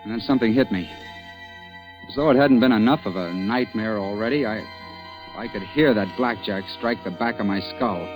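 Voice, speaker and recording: A man's voice: on the low side, normal speed, close to an everyday voice. An actor playing a harried, stubborn man caught in a nightmare, in dramatic old-time radio suspense style. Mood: haunted, narrating. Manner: exasperated, dramatic. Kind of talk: 1940s radio suspense acting. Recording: vintage radio drama with music.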